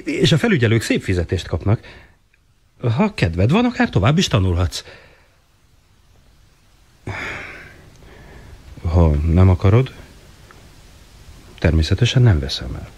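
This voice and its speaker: A man's voice: sits low, sing-song, long pauses, a little mellow, breathy edge, heavily acted. A man playing an earnest, awkward police sergeant in a radio drama, speaking hesitantly and courteously in a fatherly way. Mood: relieved, kindly. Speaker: actor in a radio play. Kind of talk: 1980 radio drama acting.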